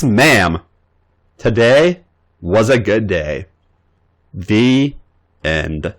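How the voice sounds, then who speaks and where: Expressive male story reader. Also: fairly low pitch. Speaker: podcast host and reader. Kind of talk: reading fan fiction aloud.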